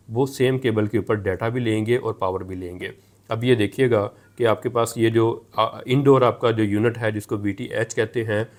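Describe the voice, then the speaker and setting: A man presents a practical tech tutorial in a mid-pitched voice. He explains things step by step, often restating what he has just said to make it clear. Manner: explanatory, repeats and rephrases each step. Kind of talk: step-by-step hands-on tutorial narration.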